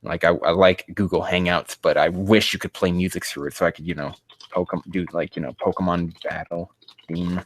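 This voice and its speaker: A man's voice: sits low, steady tone, fairly quick. A man casually reading aloud and commenting on a live stream, rambling, swearing and sounding exasperated as he mocks the writing.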